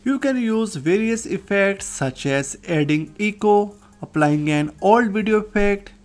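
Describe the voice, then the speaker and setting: A software tutorial host reads a scripted narration. The voice sits at a middle pitch, with faint music underneath. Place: voice-over, recorded off screen.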